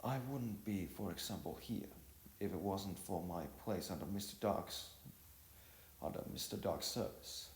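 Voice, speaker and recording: Male narrator as stoic young working man, deep voice, halting and earnest, storybook character, roomy sound. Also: slight background noise. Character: Theodore, stubborn, stoic, hardworking poor young man.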